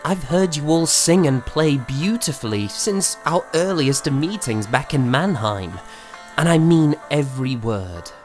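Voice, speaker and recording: An actor playing a young composer in a period audio drama, courteous and earnest, with formal, slightly hesitant speech. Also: male voice, on the high side, animated, unhurried, faint music behind. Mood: warm, sincere. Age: young adult.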